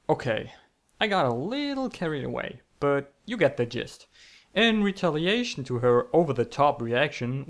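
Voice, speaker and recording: Young man telling stories with mock-epic flair. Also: mid-range voice. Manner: mock-epic, theatrical, self-deprecating asides. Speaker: online storyteller. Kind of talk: comic scripted storytelling narration.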